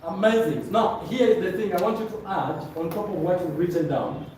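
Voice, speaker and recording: A man's voice: high voice, expressive, brisk. A man with a Zambian accent instructing a live room as a motivational trainer, directing listeners, repeating phrases and checking that they follow. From Luapula, Zambia. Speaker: trainer leading a presentation course. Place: indoor training room with stage. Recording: very echoey room, distant mic, noisy.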